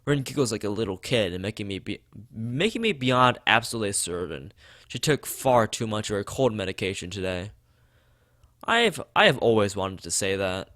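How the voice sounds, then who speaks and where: Low-voiced man reading a game aloud. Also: a bit bright. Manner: stumbles over the text and rereads words. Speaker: let's play host reading a visual novel aloud. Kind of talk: read-aloud narration with side comments.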